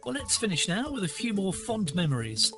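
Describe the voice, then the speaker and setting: A man's voice: high-pitched, sing-song, a little fast. A man presenting a scripted radio programme. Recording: shortwave digital radio broadcast with music.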